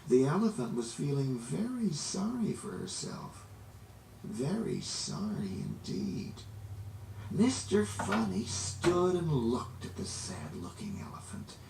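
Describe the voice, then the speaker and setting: A man reading a children's story aloud in an expressive, dramatic way, drawing out words for emphasis. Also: mid-range voice, creaky. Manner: animated, dramatic, drawn-out emphasis. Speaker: storybook reader. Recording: roomy, distant, noisy amateur recording.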